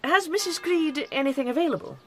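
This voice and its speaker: Casting: woman playing smooth-talking Georgian gentleman doctor, formal and flirtatious, radio drama. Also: high voice, a little fast, slight background noise, natural voice. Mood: courtly, flirtatious.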